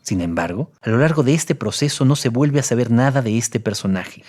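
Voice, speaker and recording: A man with a mid-pitched voice gives scripted analytical commentary in an audio documentary, acting as co-narrator and analyst. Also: animated, a little fast, darkish tone.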